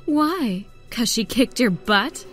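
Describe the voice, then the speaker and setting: A woman's voice: fairly high pitch, animated, takes it slowly, faint music behind. A woman voicing a sly animal villainess in a cartoon comic dub, teasing and sassy.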